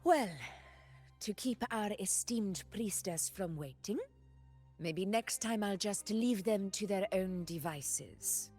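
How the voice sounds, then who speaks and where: A woman's voice: medium pitch, expressive, slow pace, a little mellow, reverberant, big-room sound. A woman playing a proud, fearless young female ruler in an anime game, imperious and composed. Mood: composed, dryly teasing. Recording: game audio over background music.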